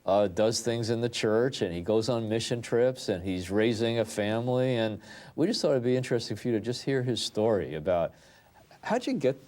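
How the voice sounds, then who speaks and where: A man's voice: mid-range voice, animated, moderate pace, darkish tone. An American man hosting a talk show and interviewing a guest in a conversational way.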